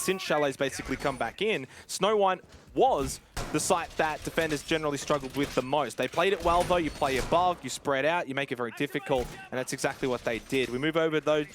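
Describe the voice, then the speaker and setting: A man's voice: on the high side, slight background noise. A man giving live play-by-play and analysis of a competitive shooter game in a fluent, analytical way. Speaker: esports commentator. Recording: music behind the voice. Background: music.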